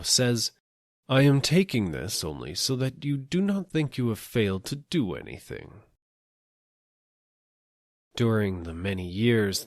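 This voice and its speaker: Low-voiced man reading a literary tale. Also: fairly bright tone, no room sound, clean studio sound. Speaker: audiobook narrator. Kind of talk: measured literary storytelling.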